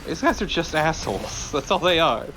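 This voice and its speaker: A man's voice: on the high side, very animated, moderate pace, bright, forceful tone, faint music behind, poor audio. A man co-commentating on a video game in blunt, casual chat with some swearing. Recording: faint background noise.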